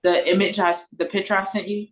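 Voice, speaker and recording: A woman's voice: medium pitch, little pitch movement, quick pace. A woman in casual conversation on an online call, talking informally and a little hesitantly. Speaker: collaborator on an audio play. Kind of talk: casual meeting chat. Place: online video meeting. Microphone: video call.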